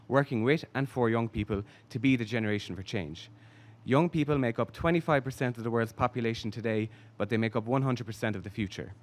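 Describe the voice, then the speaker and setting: A man reading a measured, formal prepared speech as a youth delegate in a large conference hall. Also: medium pitch. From Ireland.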